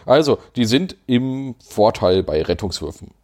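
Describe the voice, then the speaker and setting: A man's voice: medium pitch, expressive, unhurried, darkish tone. A man co-hosting a podcast, chatting casually about tabletop game rules, laughing often and using many fillers. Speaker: podcast co-host.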